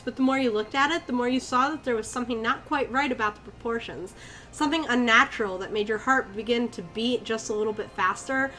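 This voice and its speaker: A woman's voice: a little high, fairly bright tone, lightly acted. A woman playing an uneasy mother with a Maine accent, recounting a horrifying memory as a dramatic story. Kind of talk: dramatic first-person storytelling.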